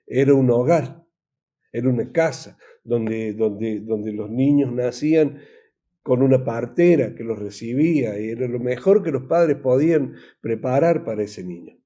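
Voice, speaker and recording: Male pastor, Argentine accent, very mellow tone, slow halting delivery, informal preaching. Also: medium pitch. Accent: Argentine Spanish. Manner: halting, repetitive, with rhetorical questions. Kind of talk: informal recorded sermon.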